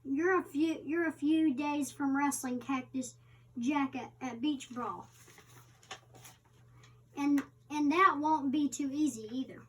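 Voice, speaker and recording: A woman with a high voice reads an interviewer's questions aloud from a magazine. She goes haltingly, stumbling over words, and sounds set back from the microphone. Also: a bit bright. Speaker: co-host reading the interviewer's part. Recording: distant microphone.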